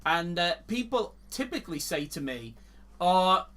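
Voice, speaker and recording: An earnest man with an English accent, a longtime Bible teacher, talking with halting restarts. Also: sits high, sing-song, sharp tone. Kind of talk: casual Bible teaching chat.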